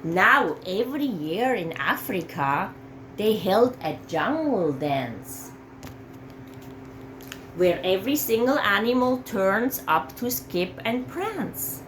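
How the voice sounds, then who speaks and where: Very slow, animated woman reading a storybook. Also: medium pitch, slight background noise, rough recording. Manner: slow, dramatic phrasing for young children. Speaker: parent reading a picture book aloud. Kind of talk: expressive children's storybook read-aloud.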